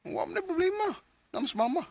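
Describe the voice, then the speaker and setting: Lazy young cebuano husband in radio drama, lively forceful voice, dry sound. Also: male voice, very high voice, fast talker, dry recording. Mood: dismissive. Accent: Cebuano Filipino. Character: idle, careless young husband with a vice.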